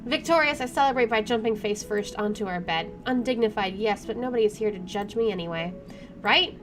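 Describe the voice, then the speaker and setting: A woman hosting a let's play, reading game narration aloud and adding casual, expressive commentary. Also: mid-range voice, a little fast, music in the background. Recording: clearly audible background noise.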